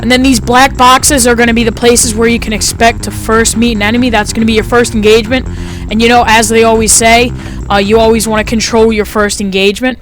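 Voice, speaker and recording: A fifteen-year-old boy with a light, high-sounding voice, chatting casually through his gameplay commentary with lots of fillers. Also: flat delivery, brisk, music in the background, rough recording. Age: teens. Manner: chatty, rambling, frequent fillers.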